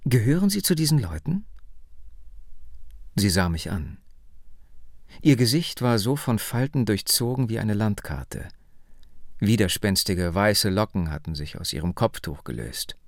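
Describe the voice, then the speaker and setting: Slow, gravelly male narrator. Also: lowish voice, lively intonation, no echo, clean studio sound. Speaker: audiobook narrator. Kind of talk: scripted narration.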